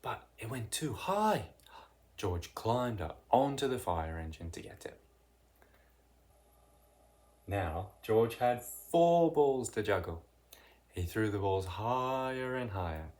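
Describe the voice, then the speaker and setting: A narrator reading a children's picture book aloud in an expressive, deliberate way with dramatic emphasis. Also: medium pitch. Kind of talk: read-aloud children's storytelling.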